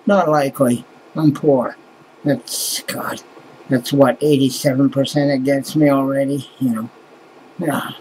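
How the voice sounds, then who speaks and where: A man's voice: mid-range voice, steady tone, a little slow, a little mellow, a little hiss or hum, rough recording. A man in his fifties from Canada, rambling and sighing to his webcam in a small room at night, swearing often and trailing off. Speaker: vlogger. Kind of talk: casual rambling vlog. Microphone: webcam close to face.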